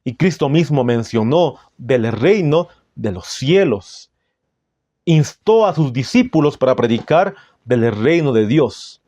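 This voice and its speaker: A man with a Bolivian Spanish accent preaching in a solemn, didactic way, reading out passages with deliberate phrasing. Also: medium pitch, animated, mellow, dry recording. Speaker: Adventist preacher. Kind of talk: sermon with scripture and book readings.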